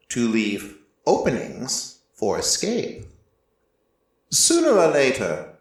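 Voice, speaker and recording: A man playing an ancient, merciless deity who narrates a story in a measured, solemn way with deliberate pauses. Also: medium pitch. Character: ancient merciless deity, male-voiced, reflective and quietly fond. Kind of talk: scripted first-person dramatic narration. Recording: echoey room, slightly distant from mic.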